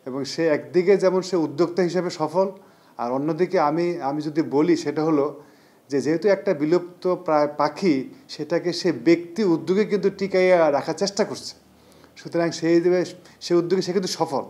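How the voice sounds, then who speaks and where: Male Bangladeshi official, somewhat high voice, emphatic spoken statement to interviewer. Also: brisk, dark tone. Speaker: livestock department official.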